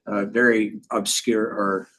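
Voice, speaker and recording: A man chairing a public board meeting, speaking in a relaxed, halting way with many fillers. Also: on the low side.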